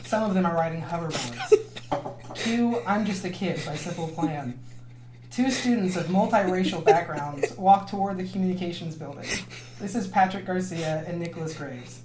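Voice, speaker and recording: A woman's voice: on the low side, normal speed, background noise. A woman reading script stage directions aloud in an amateur dramatic reading, with mock-serious narration. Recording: roomy echo, others laughing behind.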